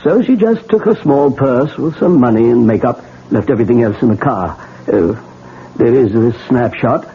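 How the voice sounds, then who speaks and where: An actor playing a calm, wry male doctor in a 1970s radio drama, matter-of-fact in delivery. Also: mid-range voice, normal speed, a little hiss or hum, natural voice. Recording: tinny old radio broadcast.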